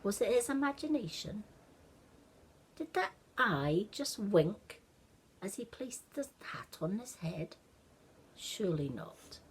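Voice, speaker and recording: A woman narrating a children's story expressively, with hushed wonder and dramatic pauses. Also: medium pitch, big pitch swings, slight background noise.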